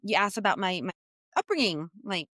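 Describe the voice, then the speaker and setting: An American woman speaks as an interview guest, telling candid stories with animation. Her voice is mid-pitched. The sound is dry and close to the mic. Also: very animated, fairly quick, fairly bright tone, no room sound. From United States. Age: adult, childhood in the 1990s. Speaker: podcast guest. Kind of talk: conversational interview.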